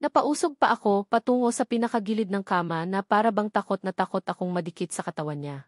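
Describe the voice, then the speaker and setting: A woman narrates a scripted first-person story in the manner of an audiobook. Her voice has a middle pitch and is recorded dry, with very little room around it. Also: quick pace, dry recording.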